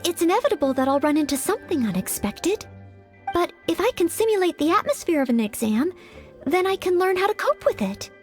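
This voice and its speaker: An earnest young maid and aspiring knight from a video game, with a very high, girlish voice. She is polite and formal, hesitant and quick to doubt herself. Music plays prominently behind her lines. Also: very animated. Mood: nervous, doubtful. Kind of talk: English video game voice acting.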